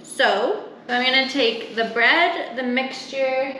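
Bright-voiced young woman in echoey kitchen. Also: high voice, takes it slowly. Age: young adult. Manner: informal, explanatory, talking while working with her hands. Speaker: home cooking vlogger. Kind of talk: casual step-by-step cooking demonstration. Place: home kitchen, indoors. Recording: roomy kitchen echo, clear background noise.

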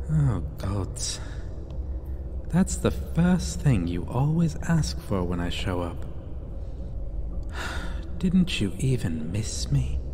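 A man playing a possessive, seductive vampire in an ASMR roleplay, sighing and speaking with condescending, mocking tenderness and quiet menace. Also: on the low side, full character voice. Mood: wounded, indulgent. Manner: slow, sighing, purring endearments, mocking tenderness. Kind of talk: ASMR roleplay, theatrical intimate acting. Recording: long reverb, clear ambient background noise.

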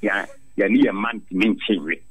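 A man's voice: lowish voice, normal speed, breathy edge, no echo. A man with a Ghanaian accent speaking emphatically in a radio interview as a farmers' leader, airing grievances with pointed rhetorical questions. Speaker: farmers' association president, interviewee.

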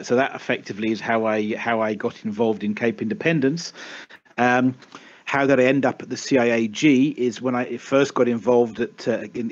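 A man's voice: mid-range voice, a little mellow. A middle-aged man from the UK with a British accent, answering questions over voice chat with many restarts and fillers. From United Kingdom. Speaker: spokesman of an advocacy group. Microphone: voice chat mic. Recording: dull lo-fi voice chat.

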